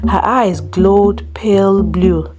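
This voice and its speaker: A woman narrating a scripted folktale in a dramatic, suspenseful way, with deliberate phrasing. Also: medium pitch. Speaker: storyteller narrator. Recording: prominent music under the voice. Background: loud music.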